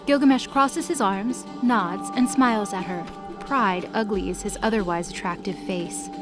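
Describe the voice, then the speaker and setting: Woman narrator with mid-pitched voice. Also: a little slow, over music. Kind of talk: scripted dramatic story narration.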